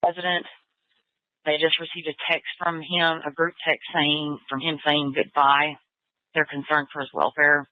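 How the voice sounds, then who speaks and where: A man's voice: a little high, level tone, moderate pace, no echo. A man relaying information as a dispatcher over police radio. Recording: tinny, narrowband police radio audio.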